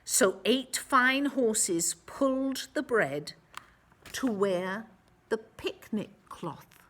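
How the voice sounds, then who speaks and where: A woman reading a picture book aloud to children, expressively, with dramatic emphasis and drawn-out words. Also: a little high, animated, a bit bright, a little hiss or hum.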